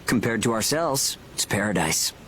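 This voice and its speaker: A man's voice: on the low side, expressive, normal speed, bright, forceful tone, a little hiss or hum, poor audio. Cocky, blunt young mercenary man. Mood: curious. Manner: casual, blunt, self-assured. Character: cocky, pragmatic young male mercenary fighter. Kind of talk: video game cutscene acting. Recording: game music behind the voice.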